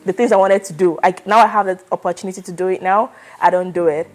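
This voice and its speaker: A woman in her twenties, raised in Nigeria, answers interview questions in a loose, conversational way. Her voice sits a little low, and faint music plays behind her. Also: quick pace. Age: 20s. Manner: relaxed, chatty, many fillers like "like" and "you know". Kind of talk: oral history interview.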